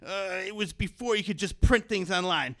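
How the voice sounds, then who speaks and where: A creaky, high-voiced old quack dentist. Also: male voice, moderate pace, a bit bright. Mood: rambling, muddled. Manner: muttering, hesitant, repeating himself. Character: bumbling old quack dentist, absent-minded and shameless. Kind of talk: comic radio-play acting.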